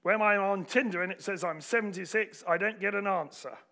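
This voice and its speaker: A man's voice: high-pitched, a little fast, sharp tone. A man voicing a vain seventy-six-year-old man in a spoken anecdote, emphatic and boastful. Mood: boastful, insistent.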